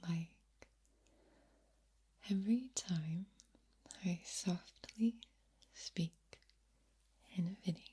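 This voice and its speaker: A woman with a low voice, whispering ASMR to the viewer in a soft, drawn-out, playful way and softly repeating words. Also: breathy edge. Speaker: ASMR creator and streamer.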